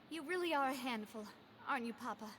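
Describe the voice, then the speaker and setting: A woman's voice: high voice, background noise. A woman playing a poised young lady leader in an anime video game, articulate, spirited and determined, with a trace of grief. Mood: wistful. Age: young adult. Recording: game music behind the voice.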